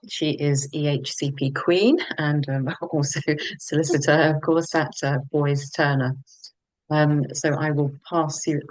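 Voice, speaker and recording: Woman host, very low voice, dry close sound, hesitant fillers. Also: darkish tone, no room sound, poor audio. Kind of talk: introducing a webinar.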